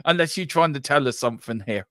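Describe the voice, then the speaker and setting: Guy hosting a football watchalong, bright sharp voice, jokey play by play, dry close mic. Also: mid-range voice, quick pace, no echo. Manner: lively, jokey match descriptions. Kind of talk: casual play-by-play chat.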